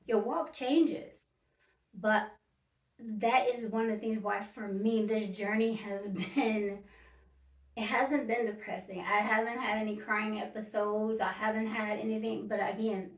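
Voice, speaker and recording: A woman in her early fifties talking casually and directly to her viewers, emphatic and repeating her points. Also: mid-range voice. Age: 50s. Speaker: vlogger. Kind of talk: casual talk to camera. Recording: echoey room, mic at a distance.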